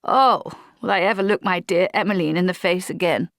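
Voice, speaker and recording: A woman's voice: on the low side, brisk, a bit bright, no echo, studio quality. A woman reading aloud, voicing a polite, nervous young male army lieutenant in a storybook. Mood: fretful.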